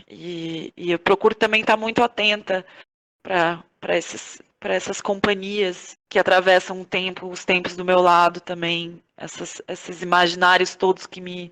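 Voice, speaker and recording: A woman's voice: mid-range voice, fairly bright tone, poor audio. A woman with a Brazilian accent, talking reflectively about her own creative work as an interview guest, searching for her words and checking in with the listener. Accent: Brazilian Portuguese. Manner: introspective, searching, often seeking agreement with short tags. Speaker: podcast guest, playwright, actress and director.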